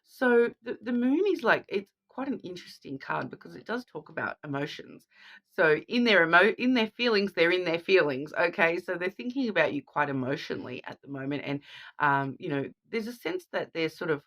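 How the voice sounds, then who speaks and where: A woman's voice: lowish voice, lively intonation, brisk, a bit bright. A woman with a cold, sounding sniffly, giving a chatty card reading to viewers and often checking in with "okay?". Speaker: tarot card reader.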